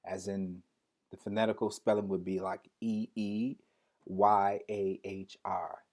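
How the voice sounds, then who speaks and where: Guy, lowish voice, teaching hebrew words on a stream, says each one over and over, spells em out slow. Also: flat delivery, darkish tone. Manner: drills words slowly, spells them out letter by letter; repeats and restarts words often, talks straight to the viewers. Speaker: teacher leading an online Hebrew lesson. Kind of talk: live-streamed religious teaching.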